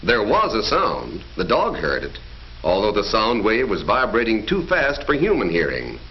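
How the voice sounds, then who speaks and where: A man's voice: lowish voice, wildly swooping pitch, moderate pace. A man narrating a 1940s educational film in an emphatic, declamatory announcer style. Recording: dull 1940s film soundtrack with faint music.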